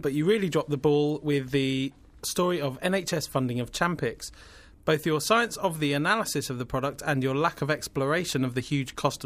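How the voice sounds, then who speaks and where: A man with a raspy voice, a podcast co-host chatting casually and reading out listener comments. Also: a little high, a bit bright.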